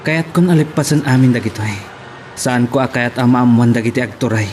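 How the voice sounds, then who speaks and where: A man with an Ilocano accent acting a troubled, principled young gentleman in a radio drama. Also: mid-range voice, brisk, slight background noise, close to an everyday voice.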